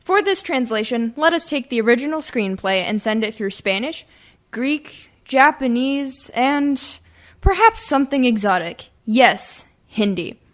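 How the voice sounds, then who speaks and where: A woman's voice: on the high side, expressive, unhurried. A woman hosting a radio comedy, reading scripted introductions. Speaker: host.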